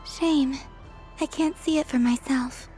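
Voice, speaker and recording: A woman voicing a serene, gentle, softly playful young woman in a video game, speaking softly and without hurry. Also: high voice, fairly even intonation, faint music behind. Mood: serene, wistful. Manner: unhurried, soft-spoken.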